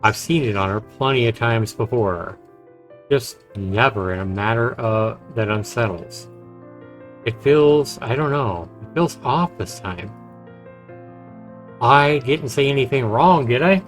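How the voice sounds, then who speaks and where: A man's voice: mid-range voice, unhurried. A man streaming a game, reading story text aloud and adding casual commentary. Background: game music.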